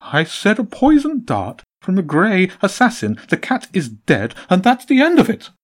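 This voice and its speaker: A man voicing a scheming male pigeon leader who speaks in a high, jolly-sounding voice that turns menacing. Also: very animated, slow pace, a little mellow, breathy edge, exaggerated voice.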